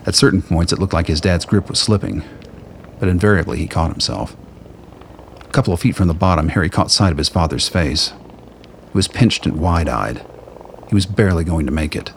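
Deep guy narrating a radio play from a script, slight background noise. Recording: faint noise and ambience.

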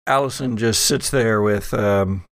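A man narrating as game master of a role-playing podcast, halting and thinking aloud as he goes. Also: fairly low pitch, a bit bright, no room sound, studio quality.

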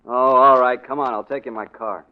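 Man playing suspicious surly young male tough, American, quick guarded speech, 1940s radio drama, tinny phone-like recording. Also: medium pitch, fairly even intonation, over loud music, close to an everyday voice. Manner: clipped, guarded. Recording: thin, narrow old radio recording.

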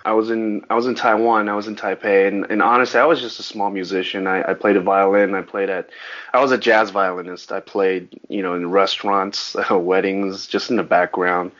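A man whose first language was Mandarin talks in a loose, rambling way, stumbling over restarts. His voice sits low. The podcast audio sounds dull and lo-fi. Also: fairly quick. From Southern California. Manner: rambling, frequent restarts, animated on sports. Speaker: guest, actor and musician. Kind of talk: casual interview chat.

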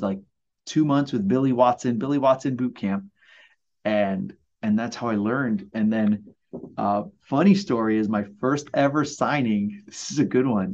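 A man in his forties, born in Puerto Rico and raised in Brooklyn, chatting as a mortgage loan officer and telling animated stories with many fillers. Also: fairly low pitch, dull audio. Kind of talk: casual storytelling interview.

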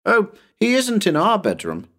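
Man putting on an eager, high-pitched little boy. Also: wildly swooping pitch, normal speed, a bit bright, heavily acted. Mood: earnest protest. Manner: childlike, pleading, earnest. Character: innocent, eager little boy, earnest and insistent.

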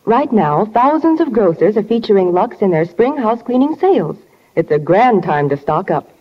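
Male secondary radio pitch voice, very high, vintage ad delivery, muffled recording. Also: sing-song, normal speed. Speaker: advertising announcer. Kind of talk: 1940s radio advertising.

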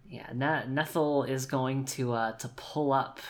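Woman w really low voice, slow n hesitant, making up rpg narration as she goes, repeats herself. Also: steady tone. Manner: hesitant, thinking aloud, often repeats phrases. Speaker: player in an actual play tabletop game. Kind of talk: improvised tabletop game narration.

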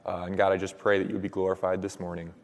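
A young man with a slightly low voice, hoarse and raspy after days of shouting, leads a sermon and prayer. He talks in an earnest, casual way, full of "uh" and "um". His words ring out with the long echo of a church hall. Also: monotone, very fast. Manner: casual, filler-heavy, earnest. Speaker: preacher.

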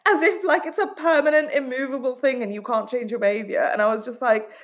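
A woman's voice: high-pitched, fairly quick. A woman from Hong Kong, working as a life coach and author, giving direct and emphatic answers in a podcast interview.

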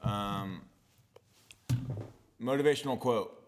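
A young man co-hosting a podcast in casual chat, tossing in deadpan jokes and swearing. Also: lowish voice, slow pace, a little hiss or hum. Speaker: podcast co-host.

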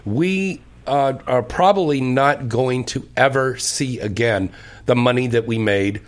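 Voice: medium pitch, a little slow. A radio host talking casually and joking with guests on a talk show. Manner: lively, jokey banter.

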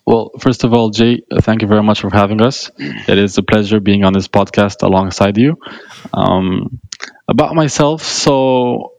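A man's voice: lowish voice, normal speed, vocal fry, a little mellow, dry recording, low-quality recording. A man in his twenties, originally from Romania, who tells a podcast host how he got into his field, with many ums along the way. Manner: earnest storytelling with filler ums. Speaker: guest, portfolio manager. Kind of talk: casual interview answers.

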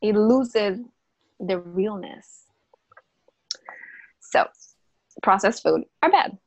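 A woman whose first language isn't English explains food and health in casual, emphatic talk. She repeats words and restarts phrases, in a middle-pitched voice. The sound is dry, with no room echo. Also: very slow. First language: not English. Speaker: natural health coach, podcast guest.

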